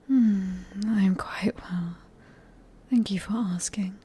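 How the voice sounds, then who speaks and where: A British woman playing a seductive, sadistic female vampire in an ASMR roleplay, speaking in a breathy near-whisper with slow, teasing politeness. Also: medium pitch, mellow. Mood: silky welcome.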